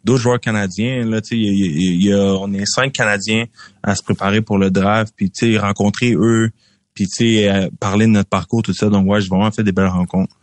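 A young man speaks with a Québécois French accent, and his voice sits fairly low. He answers in a relaxed way, with lots of fillers and restarts. The sound is dry and close. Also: a little fast, no room sound. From Quebec. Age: young adult. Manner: relaxed, repeats words, many fillers. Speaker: football draft prospect guest. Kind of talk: casual interview answers.

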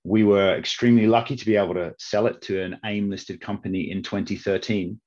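A middle-aged man from Australia with an accent softened by years in Britain, answering interview questions in a measured way with frequent restarts. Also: fairly low pitch, steady tone. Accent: Australian, softened by long UK residence. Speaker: investment network CEO, guest. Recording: slightly dull lo-fi audio.

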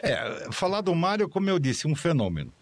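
Lively man telling old radio stories. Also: a little high, a little fast. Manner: lively storyteller, animated asides. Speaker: guest, former producer. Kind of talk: anecdotal chat.

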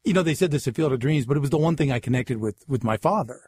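A man's voice: medium pitch, lively intonation, fast talker, darkish tone, husky, dry recording, studio quality. A man in his forties from Massachusetts with a faint Boston accent, an interview guest telling wry stories easily. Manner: easygoing, wry storytelling. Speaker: screenwriter guest. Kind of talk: casual interview chat.

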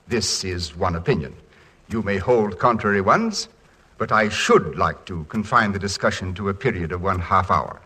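An actor playing a formal, orderly college board chairman in 1940s radio, with a pompous, measured manner. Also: low-pitched, lively intonation, pushed and bright, breathy edge, full character voice. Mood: calling to order. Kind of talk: 1940s radio comedy acting.

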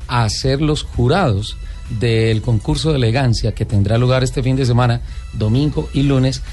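A man's voice: mid-range voice, a little mellow. A man hosting a radio interview in a studio, announcing his guests in a formal way. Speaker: radio host.

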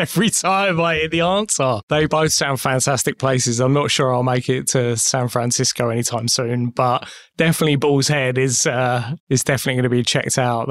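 A man's voice: mid-range voice, a bit bright. Man hosting a podcast. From United Kingdom. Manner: friendly, encouraging questions with light laughter. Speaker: podcast host. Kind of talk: conversational podcast interview.

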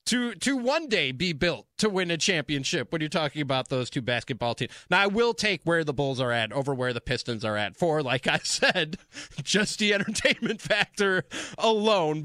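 A man's voice: high-pitched, pushed and bright, no echo, studio quality. An American man hosting sports talk radio, speaking in an emphatic, conversational monologue that repeats phrases for emphasis.

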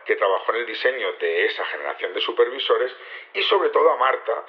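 A man with an accent from Spain playing the leader of a secret rebellion in an audio drama, explaining things haltingly in naturalistic dialogue. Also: medium pitch, very animated, natural voice. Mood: measured, resolute. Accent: Spanish (Spain). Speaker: actor in a fiction podcast. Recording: dull lo-fi radio-like sound.

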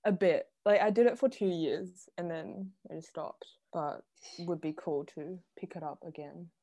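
A woman's voice: on the low side, normal speed, mellow. A young woman from Taiwan chatting casually on a student podcast, giggly and full of "like". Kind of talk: casual chat.

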